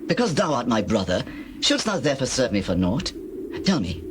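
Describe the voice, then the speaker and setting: A man playing a shrewd older patriarch in a dramatized scripture reading, speaking in measured, formal, archaic phrases. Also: medium pitch, sing-song, close to an everyday voice. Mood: genial, bargaining. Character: Laban, shrewd older patriarch and father of two daughters. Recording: clear background noise, birdsong and doves.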